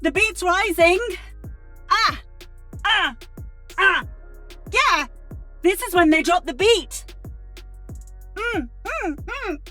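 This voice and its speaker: A woman's voice: very high-pitched, expressive, drawn-out words, long pauses, bright, forceful tone, exaggerated voice. A woman playing a curious, cheerful robot who loves dancing, with playful exclamations, in a video game. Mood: excited, playful. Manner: playful, exclamatory, wordless whoops. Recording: music behind the voice.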